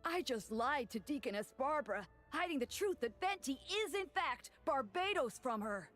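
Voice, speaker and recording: A woman's voice: sits high, animated, normal speed, fairly bright tone, soft background music. A woman voicing a youthful, mischievous male deacon in a video game, with a formal, mock-pious earnestness over cheeky words. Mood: solemn confessing. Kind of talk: scripted video game dialogue.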